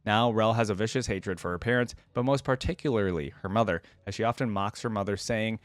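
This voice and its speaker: A man's voice: lowish voice, fairly quick, no echo. A man hosting a reaction video, reading lore aloud and adding informal, conversational commentary.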